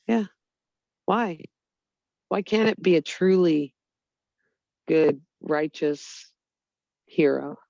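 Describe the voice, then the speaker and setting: Woman rambling in a casual chat, gravelly fry, dry muffled lo-fi audio. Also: low-pitched, extremely slow, long pauses, mellow, dry recording. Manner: earnest, rambling, lots of "you know" and "like". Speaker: Bible study group member. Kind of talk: casual online group chat.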